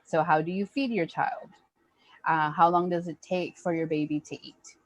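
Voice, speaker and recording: A woman with a fairly low voice talks parents through material as a program facilitator. The delivery is conversational, and the sound is dry and close with little room in it. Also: steady tone, normal speed, no room sound, rough recording. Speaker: early childhood program facilitator.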